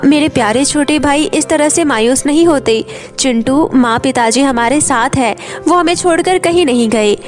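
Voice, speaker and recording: A woman's voice: high-pitched, moderate pace, faint music behind, natural voice. A woman voicing a poor, caring orphaned elder sister in a cartoon story, earnest and tender. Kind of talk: Hindi cartoon moral story.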